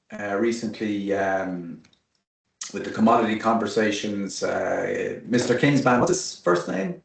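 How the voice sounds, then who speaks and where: A man's voice: medium pitch, vocal fry, distant mic. A man hosting an online interview in a casual, chatty way, joking at his own expense. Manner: chatty, jokey and self-deprecating. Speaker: host of an online webinar. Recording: roomy sound from away from the mic.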